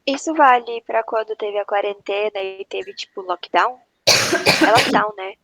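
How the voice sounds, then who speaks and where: A woman's voice: mid-range voice, unhurried, creaky, a bit bright, no room sound, rough recording. A woman student speaking up politely and a little hesitantly during an online class on a video call. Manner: polite, tentative.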